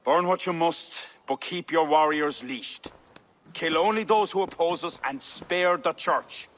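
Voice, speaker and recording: A man playing a pious, commanding medieval Irish high king in a video game, solemn and declamatory. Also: unhurried, a bit bright. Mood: righteous, stern. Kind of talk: video game cutscene acting.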